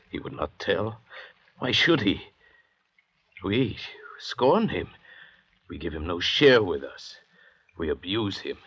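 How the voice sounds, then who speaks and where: Deep, slow, arrogant convict doctor. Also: male voice, fairly bright tone, breathy edge, heavily acted. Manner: measured, lecturing, pompous. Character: educated, bitter, arrogant male doctor, escaped convict on a raft. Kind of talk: 1940s-50s radio drama acting. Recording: dull, hazy old radio recording.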